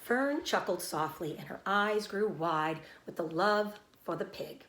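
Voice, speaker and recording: A woman reading a children's storybook aloud as a teacher, narrating expressively and pausing to explain words simply. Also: on the low side, expressive, a bit bright, roomy sound, slight background noise, poor audio.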